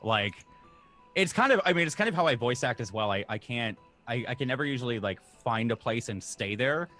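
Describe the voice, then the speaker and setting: A young man talks as a guest in an animated, self-deprecating way. His voice is bright and forward, and faint music plays underneath. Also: medium pitch, brisk. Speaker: guest, voice actor and game master. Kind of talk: casual interview chat.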